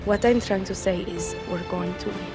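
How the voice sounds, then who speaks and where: A woman's voice: medium pitch, normal speed, over loud music, close to an everyday voice. An actress playing a young female guerrilla fighter in a video game, earnest, blunt and defiant, speaking informally. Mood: earnest, awkward. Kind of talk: cinematic video game acting.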